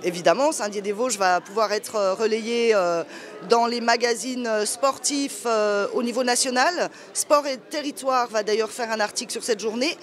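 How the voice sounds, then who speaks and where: A woman's voice: fairly high pitch, moderate pace. A woman answering interview questions as a local event organiser.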